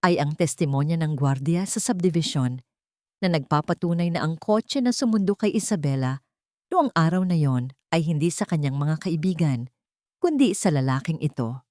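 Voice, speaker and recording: A woman voicing a stern, relentless male attorney in an audio drama, speaking in a deliberate, formal, pressing way. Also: low voice, animated, dark tone, no echo, clean studio sound.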